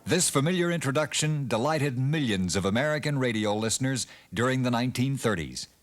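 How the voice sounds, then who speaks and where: A man giving scripted documentary narration in a measured, expressive storytelling manner. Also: mid-range voice, noisy background. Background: band music.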